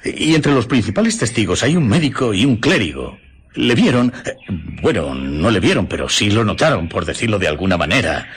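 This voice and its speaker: A chatty, low-voiced sailor, very animated. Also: male voice, a little fast, slight background noise. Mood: excited, marvelling. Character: chatty, curious male sailor fond of gossip. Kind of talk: radio drama acting.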